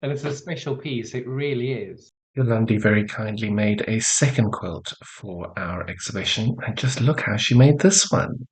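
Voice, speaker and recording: A man's voice: lowish voice, normal speed, hardly any pauses, low-quality recording. A male quilting show host born in Zimbabwe, living in the UK, chatting with a guest over a call. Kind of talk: video call interview.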